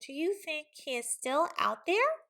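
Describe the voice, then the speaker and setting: A woman's voice: high voice, sing-song, a little slow, fairly bright tone, pitched above the performer's own voice, exaggerated voice. A woman voicing small, naive storybook pigs in a chirpy, singsong manner. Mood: flustered.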